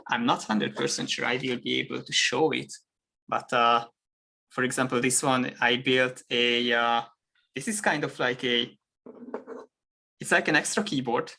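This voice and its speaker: A man's voice: mid-range voice, steady tone, unhurried, creaky, sharp tone. A man with a Hungarian accent, a native Hungarian speaker, chatting as a guest on a video call, talking hesitantly with many fillers and restarts. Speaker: guest engineer. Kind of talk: casual chat.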